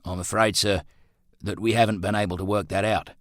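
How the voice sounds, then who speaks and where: A man acts out a humble working man in a period storybook. The voice is low and dry. He is polite and deferential, a little awkward and hesitant. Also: steady tone, a little fast, no room sound, close to an everyday voice. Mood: uneasy.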